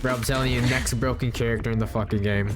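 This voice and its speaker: A man's voice: mid-range voice, steady tone, fast. A man giving casual, slangy and profane reaction commentary as a game streamer. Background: game music.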